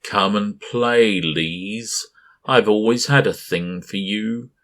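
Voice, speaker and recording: A man voicing a giant gaseous male creature who mocks and menaces in a slow, leering drawl. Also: lowish voice, a bit bright, voice pushed up. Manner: taunting, drawn-out leering delivery.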